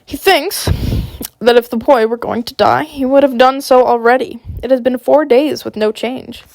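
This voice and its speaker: Woman reading as a wry dwarf lord, gravelly. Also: high-pitched, steady tone, normal speed, a little mellow, dry recording, rough recording. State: sick. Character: sharp-tongued male dwarf noble, wry and mocking.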